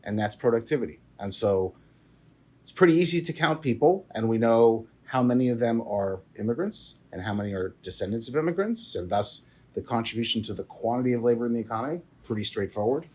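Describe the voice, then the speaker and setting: A man's voice: medium pitch, expressive, a little fast, darkish tone, slightly rough. A man answering as the economist guest in an interview, emphatic, often repeating words as he restarts.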